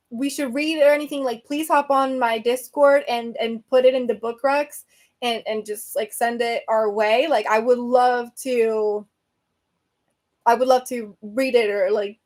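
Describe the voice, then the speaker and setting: A woman from Venezuela who hosts a book podcast talks casually with viewers on a live stream. Her voice sits a little high. She rambles, fills gaps with "um," and corrects herself mid-sentence. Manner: chatty and rambling, many fillers and self-corrections.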